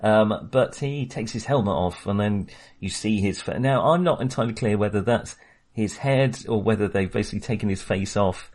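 A man's voice: lowish voice, moderate pace, a little mellow. A man co-hosting a casual film podcast, talking with many fillers and small laughs. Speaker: podcast co-host.

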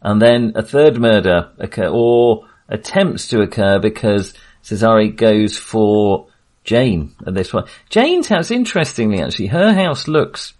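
Low-voiced man in casual film discussion. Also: expressive, a little slow, a little mellow. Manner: hesitant, circling back to rephrase. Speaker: film podcast host.

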